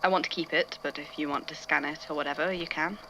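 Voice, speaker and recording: A woman's voice: low voice, fairly even intonation, quick pace, fairly bright tone, a little hiss or hum, rough recording. A woman playing an irritable, bookish male archivist in an audio drama, speaking hesitantly into a recorder and thinking aloud. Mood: subdued, shaken. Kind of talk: audio drama narration, recorded case notes.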